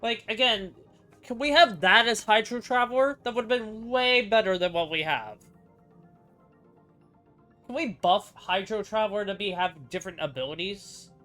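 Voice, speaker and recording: A man's voice: high-pitched, moderate pace, sharp tone. A man giving casual, exasperated reaction commentary over a video game, often complaining. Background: faint game music.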